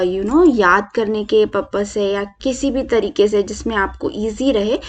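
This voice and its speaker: A young woman teaches an online language lesson, explaining slowly and switching between Hindi and English with frequent fillers. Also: mid-range voice.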